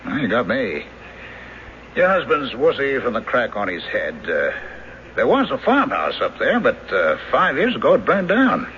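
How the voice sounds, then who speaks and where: An actor playing a helpful, matter-of-fact police lieutenant with a folksy manner in a 1970s radio drama. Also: male voice, mid-range voice, huge pitch swings, moderate pace. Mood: puzzled. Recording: muffled, hissy 1970s radio recording.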